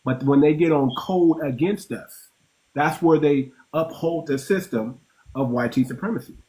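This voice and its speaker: A man's voice: fairly high pitch, normal speed, mellow, low-quality recording. A man hosting a casual panel discussion, talking emphatically and repeating words as he builds his point. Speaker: host.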